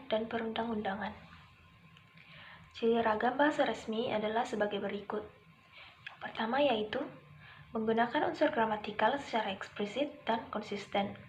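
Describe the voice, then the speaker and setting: A young adult woman from Indonesia reading a scripted lesson aloud in a formal, recited manner, as a student presenter. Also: fairly high pitch. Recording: dull lo-fi with faint noise.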